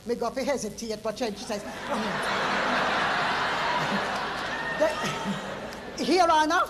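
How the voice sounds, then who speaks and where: An older woman of about seventy speaks Jamaican Patois in a high, lively voice that swings up and down. She chats and laughs as she tells her story. The clip has long reverb and faint background noise. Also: very slow. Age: 70s. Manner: laughing, animated, chatty.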